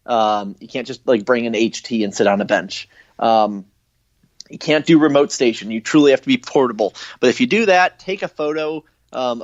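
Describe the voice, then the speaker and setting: Casting: male radio guest, informal explainer, halting but keen, mid-pitched, on a muffled low-fidelity call. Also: no room sound. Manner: hesitant, halting, filler-heavy delivery. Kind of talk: informal explanatory interview talk. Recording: dull, lo-fi call-in audio.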